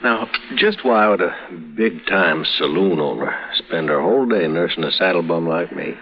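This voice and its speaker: A low-voiced drifting cowboy. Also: male voice, lively intonation, moderate pace. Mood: easygoing. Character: smooth-talking wounded male drifter. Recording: dull old radio recording.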